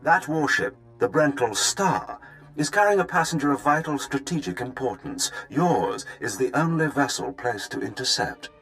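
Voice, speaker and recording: A ruthless, self-satisfied space-empire commander from a game. He talks with pompous, measured menace and swooping intonation over music. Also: mid-range voice, sing-song, fairly bright tone, rough recording. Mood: smug menace. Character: smug, ruthless male imperial fleet commander.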